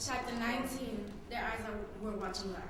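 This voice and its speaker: A teenage girl gives a dramatic narration from literature in a fairly high voice. She is far from the microphone, and the hall echoes heavily. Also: normal speed, over a noisy crowd. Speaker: student narrator. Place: public reading in a hall. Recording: very echoey hall, distant mic, faint noise.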